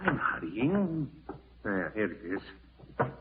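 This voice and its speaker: A man's voice: on the low side, very animated, slow. An actor playing a callous, scheming man in a 1940s radio horror drama, muttering to himself and snappish, with melodramatic delivery. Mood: irritable, evasive. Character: scheming, callous male relative plotting murder, panicking and irritable. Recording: scratchy, tinny old-time radio broadcast.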